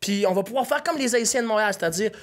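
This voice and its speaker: Animated swearing Québécois young man. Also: high voice, rapid-fire. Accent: Québécois French. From Quebec. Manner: animated, swears freely while ranting. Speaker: podcast guest. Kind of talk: casual interview chat. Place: studio.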